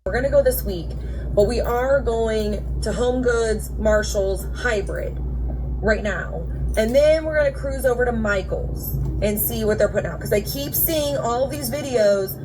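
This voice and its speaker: A woman's voice: fairly high pitch, measured pace. An American woman chatting casually as in a vlog. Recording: clear background noise, ambient sound.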